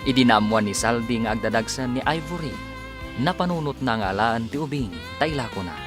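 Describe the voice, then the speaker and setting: A man's voice: mid-range voice, lively intonation, fairly quick. A man with an Ilocano accent delivering scripted, dramatic narration as the announcer of a radio serial. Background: music.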